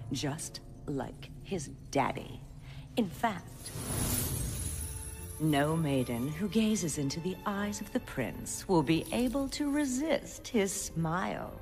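A menacing animated sorceress, theatrical and ominous. Her slow delivery swings widely in pitch. Music plays clearly behind her. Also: full character voice. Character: menacing sorceress casting a curse on a prince. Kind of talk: animated film acting.

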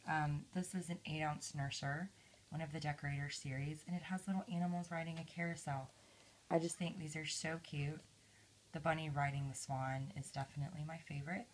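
A woman with a low voice, hoarse from yelling the day before, talks through her collection in a relaxed show-and-tell, pausing with soft ums. She sounds close to a handheld camera mic at home, with a faint hum of room ambience behind her. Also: rough recording. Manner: gentle, with many ums. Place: indoors at home, camera over a table. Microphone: handheld camera mic, speaker off-camera.

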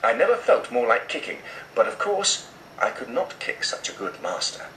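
A man's voice: medium pitch, huge pitch swings, normal speed, bright, forceful tone, a little hiss or hum, low-quality recording. A man reading an audiobook expressively, played through a tablet speaker in a room. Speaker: audiobook narrator. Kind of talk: expressive scripted audiobook narration.

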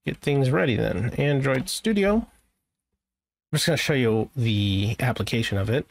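Casual man with a gravelly edge. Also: mid-range voice, expressive, a little slow. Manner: informal, thinking aloud, lightly joking. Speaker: tech tutorial presenter. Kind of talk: casual screen-share software walkthrough.

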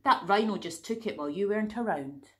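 A woman's voice: mid-range voice, animated, brisk, natural voice. A woman voicing a pig protesting its innocence.

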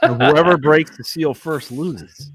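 A man's voice: on the high side, unhurried, a bit bright, breathy edge, low-quality recording. A man bantering casually as a panelist on a group video call. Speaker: panelist on a toy collecting show.

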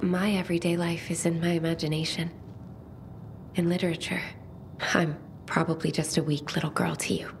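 An actress playing a pensive, sensitive young bookish survivor in a video game, speaking in measured, formal phrases. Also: female voice, lowish voice, flat delivery, a little hiss or hum, natural voice. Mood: dreamy, vulnerable. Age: young adult. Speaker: game character. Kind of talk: video game cutscene dialogue.

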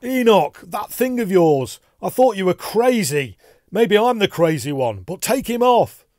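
Frantic, terrified man, pitched high. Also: sing-song, a little slow, a little mellow, dry recording, clean studio sound. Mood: panicked. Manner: pleading, agitated, sobbing. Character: terrified frantic man begging to be rid of a demon. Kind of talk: dramatic horror story reading.

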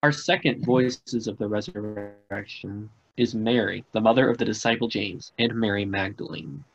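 A man's voice: lowish voice, fairly even intonation, moderate pace, vocal fry. A man giving a scripted introduction as announcer on a video call. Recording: muffled, noisy video-call audio.

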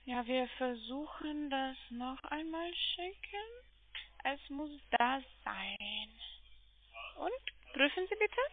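A woman with a foreign accent working as a call centre agent, speaking haltingly and repeating things carefully. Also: sits high, lively intonation, a little hiss or hum. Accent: foreign accent in German. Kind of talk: phone conversation. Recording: dry narrow telephone line.

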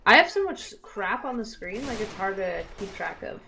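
A woman's voice: medium pitch, sing-song, normal speed, vocal fry, sharp tone. A young woman chatting casually as she plays a game, playful and slangy. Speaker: gamer, co-player. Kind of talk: casual gameplay chat. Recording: game gunfire behind. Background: war game gunfire.

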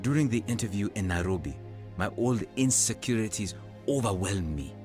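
An actor playing an earnest, self-doubting African evangelist nearing forty, telling his testimony in an audio drama in a measured, confessional way. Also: on the low side, expressive, a little mellow, soft background music, lightly acted. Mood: reflective. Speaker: narrator and lead character in an audio drama.

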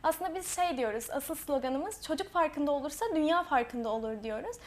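A woman's voice: high-pitched, lively intonation, fairly quick. A young woman, a student author, answering interview questions earnestly. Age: young adult. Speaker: guest, student author and association vice-president.

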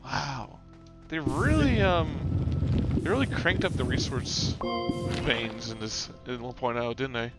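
A man's voice: medium pitch, unhurried, over loud music. A man co-hosting a game recording, chatting in an easygoing way and making dry jokes. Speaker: let's-play co-host. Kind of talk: casual gaming chat.

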